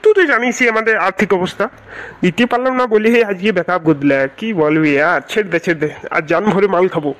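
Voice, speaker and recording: A penniless, lovesick young cartoon fellow played by a man. He talks quickly in a high voice, whining and melodramatic. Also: a bit bright. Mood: dejected. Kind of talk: Bangla comedy cartoon dubbing.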